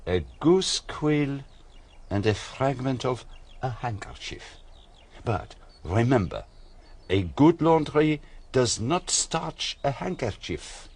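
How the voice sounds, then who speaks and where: An actor playing a courteous, methodical foreign detective in a radio drama, deliberate and hesitant as he probes with polite questions. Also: mid-range voice, sing-song, a little mellow, breathy edge, heavily acted. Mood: curious, musing.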